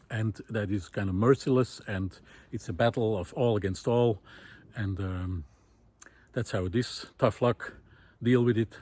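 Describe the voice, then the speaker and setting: A man's voice: lowish voice, a little mellow. Man musing aloud on a forest walk. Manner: thoughtful, many pauses and fillers, rising emphasis on key points. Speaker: nature video essayist. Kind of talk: reflective walking monologue. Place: forest path outdoors.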